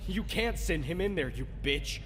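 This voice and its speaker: A man's voice: a little high, lively intonation, normal speed, in a large hall, very noisy, lightly acted. A man acting an outraged, defiant worker confronting the bosses in an audio drama, emotional and confrontational. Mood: furious.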